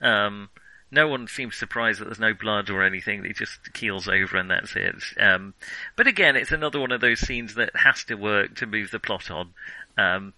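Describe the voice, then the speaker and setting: A man's voice: fairly low pitch, normal speed, bright, forceful tone, no echo, poor audio. A man co-hosting a casual film discussion, talking in a hesitant, discursive way. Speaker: podcast co-host.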